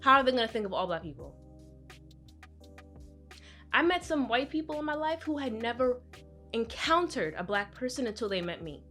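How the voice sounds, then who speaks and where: Woman in her twenties, vlog commentary, fairly high voice, emphatic, faint music underneath. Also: big pitch swings, moderate pace, long pauses, bright, forceful tone. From Brooklyn, New York, with Trinidadian roots. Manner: conversational, emphatic, acts out voices of others. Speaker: vlogger. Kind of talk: candid personal commentary to camera.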